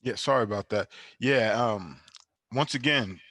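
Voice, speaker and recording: A man's voice: medium pitch, unhurried, pushed and bright, no room sound, clean studio sound. A man on a remote call giving informal thank-you remarks as a panel speaker. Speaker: monologue storyteller on a panel.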